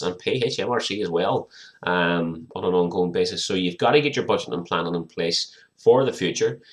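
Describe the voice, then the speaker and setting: A middle-aged British man, a little hoarse and croaky, giving an informal video explainer as an accountant and speaking directly to the viewer in a conversational way. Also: low-pitched, a bit bright. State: hoarse, croaky from a viral infection. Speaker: small business accountant presenting advice.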